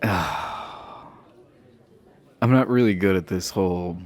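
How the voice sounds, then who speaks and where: Man playing a deep-voiced flirty himbo jock. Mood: friendly, nervous. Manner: halting, bashful chuckling, teasing. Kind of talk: romantic roleplay audio acting, addressed to listener.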